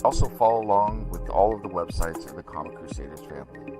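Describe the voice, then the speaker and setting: Male announcer, very deep voice, scripted outro, music playing behind. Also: expressive. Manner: measured, promotional.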